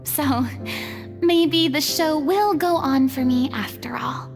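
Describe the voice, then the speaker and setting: An actress voicing a graceful former stage star, speaking gently and articulately over game music. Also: female voice, sits high, takes it slowly. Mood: warm, reflective. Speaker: game character. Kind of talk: game voice acting. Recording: game audio with loud music.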